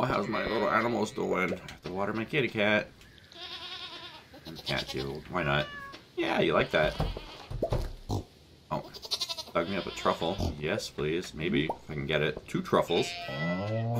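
A man's voice: sits low, background noise. A man in his thirties with a stuffy nose, chatting casually and rambling while he plays a farming game on a headset mic. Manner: relaxed, rambling, thinking aloud. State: congested from a cold. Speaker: gaming channel host. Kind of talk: casual let's play commentary. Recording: game music playing under voice.

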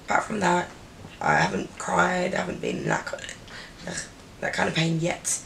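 A young woman, croaky and drowsy after surgery, talking quietly to camera in her bedroom. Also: deep voice, huge pitch swings, moderate pace, far from the mic, slight background noise. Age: young adult. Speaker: vlogger. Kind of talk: casual recovery vlog to camera. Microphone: handheld camera.

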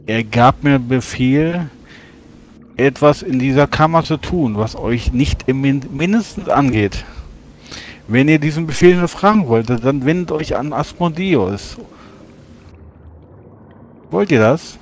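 A man's voice: mid-range voice, expressive, slight background noise, natural voice. A man voicing a haughty male agent of a court mage in a tabletop game, speaking formally and commandingly. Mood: insistent. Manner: formal, commanding, measured threats.

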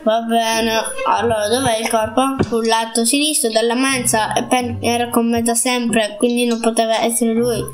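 Woman as fretful, high-voiced cartoon character. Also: little pitch movement, normal speed, few pauses, close to an everyday voice. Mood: earnest. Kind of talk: cartoon fandub acting.

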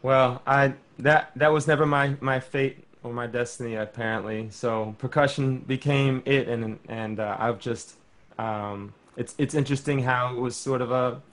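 A man on a video call shares an easygoing story from a bookshelf-lined room at home. His pitch sits mid-range, and he speaks casually through a laptop mic. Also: moderate pace. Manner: relaxed storytelling with light laughs. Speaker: panelist, percussionist and educator.

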